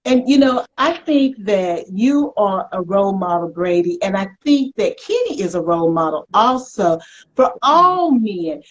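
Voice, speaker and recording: An American woman hosting a conversational interview in an animated, folksy, affirming way. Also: mid-range voice, unhurried. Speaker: talk show host. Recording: dull lo-fi web call audio.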